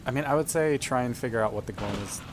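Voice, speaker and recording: A man's voice: mid-range voice, quick pace. Male gamer with a mid-range voice, reasoning through options casually, noticeable background noise. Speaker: player in a tabletop roleplaying game. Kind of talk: casual game discussion.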